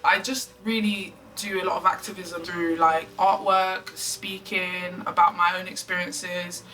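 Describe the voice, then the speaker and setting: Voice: mid-range voice, flat delivery, a little slow, pushed and bright. A non-binary person in their twenties speaking thoughtfully and articulately in a documentary interview. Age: 20s. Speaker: interviewee, activist. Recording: distant mic.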